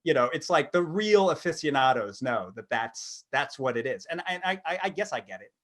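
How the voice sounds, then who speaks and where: A man in his thirties co-hosting a podcast in casual chat, rambling and halting as he talks. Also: mid-range voice, very animated, a bit bright. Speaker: podcast co-host.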